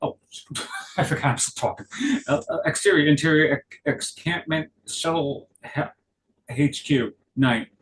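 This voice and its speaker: A man narrating stage directions at a script table read, halting and correcting himself as he goes. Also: fairly high pitch, fairly bright tone, poor audio. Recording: clear background noise.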